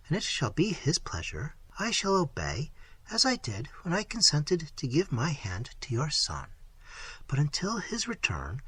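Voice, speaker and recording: Man voicing a meek young lady, hushed, breathy, soft, storybook heroine. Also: a little high, no room sound, exaggerated voice. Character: frightened young noble lady, meek and pleading.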